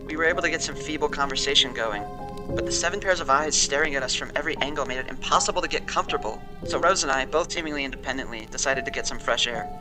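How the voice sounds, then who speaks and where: An actor playing a shaken, wary man who recounts a frightening experience in an audio drama, telling it with dramatic pauses. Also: mid-range voice, music in the background, natural voice, poor audio. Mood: uneasy, haunted. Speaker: interviewee. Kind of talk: audio drama monologue, interview testimony. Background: musical score.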